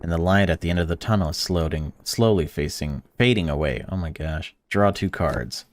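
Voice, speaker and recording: A man with a very low voice reads a visual novel's narration aloud in an even way. He breaks off now and then to blurt out excited or indignant reactions as he plays. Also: moderate pace, no room sound, studio quality. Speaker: gaming channel host. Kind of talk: reading a visual novel aloud while playing.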